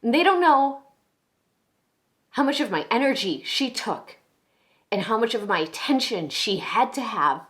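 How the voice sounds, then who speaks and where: A woman playing a defensive, cracking young woman under interrogation in a dramatic monologue, scattered, halting and pleading, close to breaking down. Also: on the high side, very animated, a bit bright, voice pushed up. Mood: bitter, hurt. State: distraught, breaking into tears.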